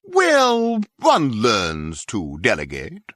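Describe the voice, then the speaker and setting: A man's voice: mid-range voice, wildly swooping pitch, drawn-out words, fairly bright tone, dry recording, studio quality, exaggerated voice. A man playing a pompous, self-satisfied, rather foolish baron in a video game. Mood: smug, blithe. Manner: pompous, rambling.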